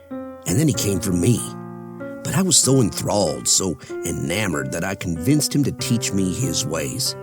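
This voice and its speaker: Wildly theatrical, gloating mad killer. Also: male voice, sits low. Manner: taunting, drawn-out theatrical delivery. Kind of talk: audio drama acting.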